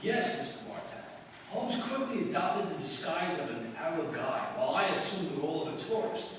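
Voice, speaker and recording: Noisy echoing radio recording, earnest British gentleman, excitable, lively high voice, 1940s drama. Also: moderate pace. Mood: narrating. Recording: echoey, distant old radio recording, clear noise.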